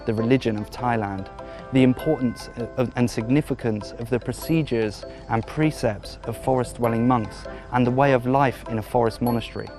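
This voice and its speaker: Formal male presenter outdoors. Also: mid-range voice. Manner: formal, measured, somewhat repetitive phrasing; jokes and chats cheerfully with children. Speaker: documentary presenter and observer. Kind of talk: presenting to camera. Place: outdoors at a rural forest monastery.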